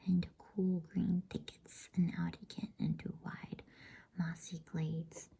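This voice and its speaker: A woman reading softly in a breathy whisper, in a soothing, unhurried ASMR bedtime story style. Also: sits low, poor audio. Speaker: host and storybook reader.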